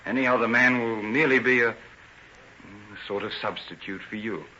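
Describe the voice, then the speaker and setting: An actor playing an earnest, honourable gentleman and rival in love, speaking directly in a theatrical old-time radio style. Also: fairly low pitch, fairly quick. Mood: softened, sincere. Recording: dull, noisy old radio recording.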